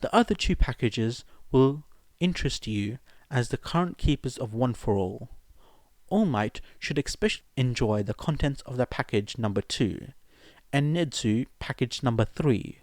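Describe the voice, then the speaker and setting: A man's voice: mid-range voice, lively intonation, normal speed, dry recording, studio quality, natural voice. A man voicing a polite, self-assured revolutionary reading out a formal letter in an audiobook.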